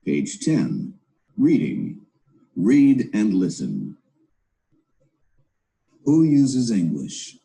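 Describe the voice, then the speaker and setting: A man's voice: lowish voice, wildly swooping pitch, drawn-out words, gravelly, long pauses, dark tone, rough recording. A man narrating textbook audio, announcing exercises and interviewing speakers.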